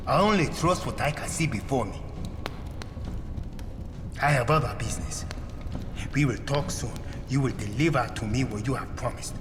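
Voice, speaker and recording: An actor playing a menacing, cold-blooded dealer in a video game, speaking deliberately and threateningly. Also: male voice, mid-range voice, natural voice. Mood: stern, threatening. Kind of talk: dramatic video game voice acting. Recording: music behind the voice.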